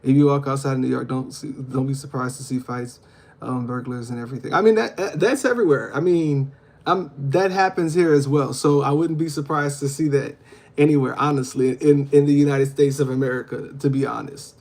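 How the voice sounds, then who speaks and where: A man chats live to his viewers, his voice pitched in an ordinary middle range. He reads comments out loud and answers each one in turn. He talks casually, filling the pauses with ums and uhs. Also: vocal fry. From Louisiana. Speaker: livestream host. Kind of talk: casual livestream chat reading comments.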